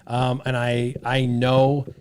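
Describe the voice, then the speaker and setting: A man's voice: mid-range voice, completely flat delivery, slow, a little mellow, clear, resonant tone. An American man chatting and joking casually as a podcast panelist.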